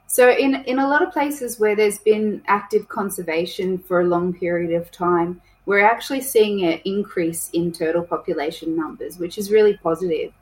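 A woman's voice: fairly low pitch, moderate pace, hardly any pauses, poor audio. Woman, conservation worker, chatty with lots of ums and restarts. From New South Wales, Australia. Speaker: conservation project coordinator. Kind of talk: casual podcast interview.

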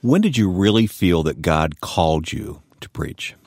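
A man's voice: low voice, lively intonation, unhurried, a little mellow, slightly rough, dry recording, studio quality. A man hosting a radio interview, asking short, prompting questions.

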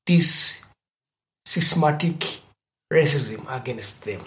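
Ugandan-accented podcast host, mid pitch. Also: lively intonation, slow, pushed and bright. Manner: thoughtful, speaks in long winding sentences. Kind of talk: conversational interview.

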